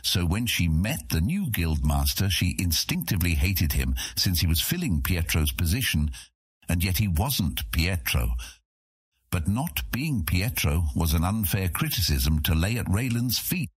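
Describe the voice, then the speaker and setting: A man's voice: sits low, very animated, measured pace, slightly rough, dry recording. A man reading scripted narration for a fantasy audiobook.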